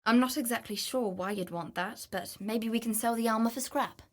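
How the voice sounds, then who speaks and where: A woman's voice: on the high side, normal speed, lightly acted. An actress playing an adventurous, greedy woman treasure hunter in a video game, confident, wry and theatrical. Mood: wry, practical. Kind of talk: video game character voice lines.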